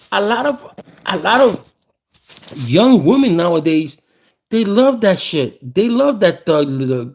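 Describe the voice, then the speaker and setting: A man of about fifty with a gravelly voice, talking casually and with opinions, often repeating phrases for emphasis. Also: fairly high pitch, darkish tone. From South Bronx, New York, USA. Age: around 50. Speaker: host.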